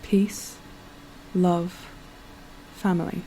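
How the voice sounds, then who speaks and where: A woman playing a solemn speaker reciting a message of hope in a scripted audio drama, measured and grave. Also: lowish voice, flat delivery, mellow, a little hiss or hum, low-quality recording.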